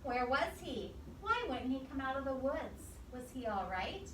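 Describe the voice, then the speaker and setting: A woman reading a storybook aloud to young children, expressive, pausing to ask simple questions and repeat answers. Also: high-pitched. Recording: echoey, distant mic, clear background noise.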